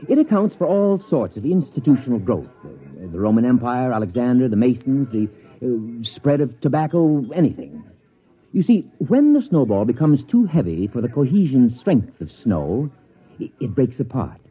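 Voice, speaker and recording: A man's voice: medium pitch, big pitch swings, moderate pace, breathy edge. A man playing an earnest, scholarly sociology professor in mid-century radio drama, explaining things with small hesitations. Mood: didactic. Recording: dull vintage radio recording.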